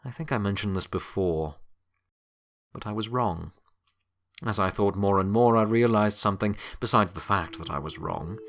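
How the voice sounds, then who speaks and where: Man playing weary, low-voiced pony of Death. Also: natural voice. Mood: reflective, confessional. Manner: reflective, halting, confiding. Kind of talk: dramatic audio fiction monologue.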